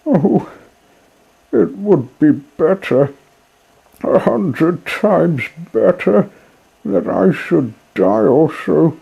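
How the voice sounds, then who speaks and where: A man's voice: on the high side, sing-song, very slow, vocal fry, long pauses, warm tone, breathy edge, pitched above the performer's own voice, full character voice, low-quality recording. A man voicing a grieving young wooden puppet boy, pleading and tearful, in a storybook reading.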